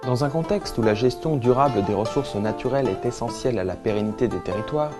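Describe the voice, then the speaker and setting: A man reading scripted documentary narration in a formal, measured manner. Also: mid-range voice. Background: guitar music.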